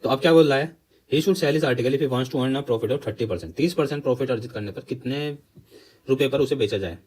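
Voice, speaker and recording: Casting: Indian man, mid-pitched voice, exam tutor who explains step by step and checks with rhetorical questions. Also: normal speed, a little mellow, rough recording. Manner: instructional, asks questions and answers them himself; mixes Hindi explanation with English terms. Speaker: maths teacher for exam preparation.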